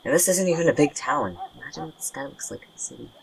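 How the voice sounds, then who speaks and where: A woman playing a tired, guarded young friend with wry, deadpan humour in an audio drama. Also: deep voice, fast talker, creaky, rough recording. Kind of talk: naturalistic audio drama acting.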